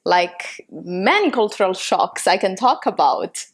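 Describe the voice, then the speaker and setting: A woman's voice: mid-range voice, sing-song, a little slow, slightly rough. A woman from Italy with an Italian accent, chatting casually as a podcast co-host, often searching for words with "like" and checking with "right?". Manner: hesitant phrasing with frequent "like" and tag questions. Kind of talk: casual chat.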